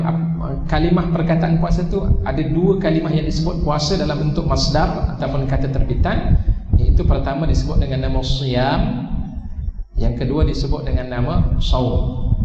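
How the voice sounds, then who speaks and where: A man with a Malaysian Malay accent giving a lively religious lecture, asking the audience questions and answering them himself. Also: a little high. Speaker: preacher. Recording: echoey hall, dull lo-fi sound with noise.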